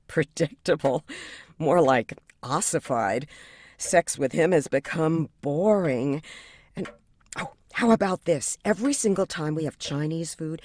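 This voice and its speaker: A woman's voice: low voice, darkish tone, dry recording. A woman playing an outspoken, defensive young therapy client in an audio drama, with a frank, sardonic manner. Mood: exasperated, sardonic.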